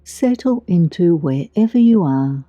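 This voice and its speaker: Female meditation guide, lowish pitch, soothing and unhurried, gentle pauses, dull dry recording over music. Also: animated, dry recording. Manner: soothing, gentle, deliberate delivery with long pauses. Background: background music.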